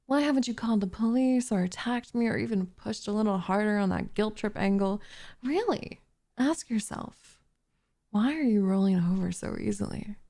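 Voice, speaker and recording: A woman's voice: mid-range voice, mellow, natural voice. A woman voicing a doting, possessive witch in an intimate ASMR roleplay, cooing and teasing with smug condescension, often slipping into a whisper close to the microphone. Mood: soothing, possessive. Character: doting, possessive young witch turning captive into pet cat.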